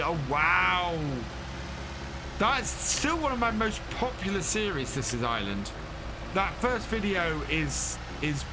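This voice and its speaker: A man's voice: high-pitched, noisy background. A man casually chatting with his live chat while playing a farming game, thanking followers and answering questions as he plays. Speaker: streamer. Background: game music.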